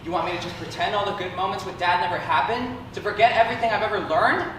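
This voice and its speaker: A haughty, competitive sibling, acted by a woman speaks scornfully and defensively at a middle pitch. It is stage drama, heard from far off in a very echoey space. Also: fast talker, close to an everyday voice. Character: haughty, competitive favored sibling loyal to father. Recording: echoey room from far off, noisy.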